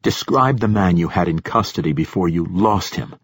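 A stern priest-captain, acted by a male audiobook reader, interrogates in a fairly low, husky voice. He is curt and insistent, with dry sarcasm, in a very dry recording. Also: animated, moderate pace, no echo. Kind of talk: audiobook character dialogue.

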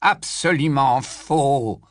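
Man playing a solemn old wizard, wheezy, slow and cold, raised a little, dry close sound. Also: high-pitched, very animated, fairly bright tone, dry recording, pitched above the performer's own voice, exaggerated voice. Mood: stiff. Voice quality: measured, wheezy, cold and formal. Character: stiff, solemn old wizard, loyal and offended.

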